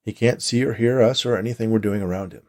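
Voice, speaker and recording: A man's voice: fairly low pitch, fast, a little mellow, lightly acted. A man voicing a matter-of-fact veteran watcher who explains the job to a new hire in a story reading. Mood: matter-of-fact explaining.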